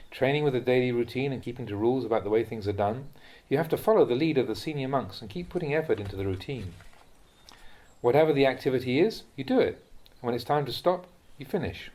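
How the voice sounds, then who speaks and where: A man reading a translated Buddhist talk aloud as a monk would teach, in a measured, instructive manner. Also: medium pitch, a little mellow.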